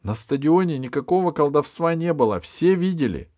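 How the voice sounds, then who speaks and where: A man's voice: on the high side, animated, moderate pace, mellow, no room sound, clean studio sound, pitched above the performer's own voice, lightly acted. A man voicing a brave, earnest young girl in a storybook, emphatic and pleading. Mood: indignant, pleading.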